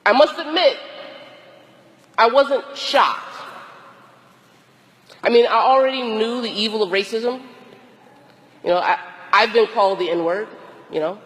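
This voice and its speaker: A hoarse, tired woman priest with a cold gives a slow, deliberate sermon with long pauses. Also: a little high, in a large hall. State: hoarse, losing her voice from a cold; exhausted. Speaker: Episcopal priest. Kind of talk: reflective sermon.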